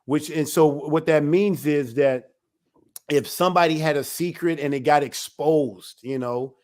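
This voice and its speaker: A man, an elder of a ministry, giving a religious teaching talk in an emphatic, animated way. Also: fairly high pitch, unhurried. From United States.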